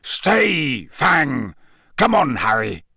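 A man's voice: medium pitch, wildly swooping pitch, drawn-out words, vocal fry, pushed and bright, husky, no room sound, clean studio sound, heavily acted. A man voicing a huge, hot-tempered, loyal giant of a man, growling in blunt rustic speech for an audiobook. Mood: gruff. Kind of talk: audiobook character acting.

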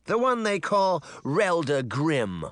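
A cocky, mischievous boy acted out by a man in a storybook reading. His delivery is mock-dramatic and taunting, swooping widely in pitch, and the sound is dry and close. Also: sing-song, takes it slowly, fairly bright tone, no room sound, full character voice. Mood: accusing.

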